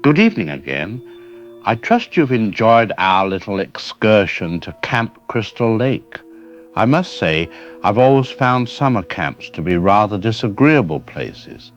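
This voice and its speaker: A man playing a droll, formal horror anthology host in an audio drama, dryly ironic and measured, with dull band-limited sound. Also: lowish voice, very animated, faint music behind. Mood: droll, genial. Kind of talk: scripted horror anthology hosting.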